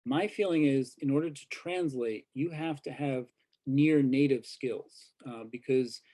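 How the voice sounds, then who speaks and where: A man takes part in a panel discussion over a video call. His voice is dark and mellow. He speaks in a measured, thoughtful way, and his sentences often trail off softly. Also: medium pitch. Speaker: journalist, panelist.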